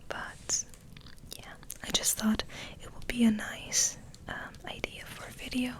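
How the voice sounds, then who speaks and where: Female ASMR host: breathy whisper, gentle and musing, flat intonation, unhurried pacing. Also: a little high. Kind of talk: soft whispered ASMR ramble.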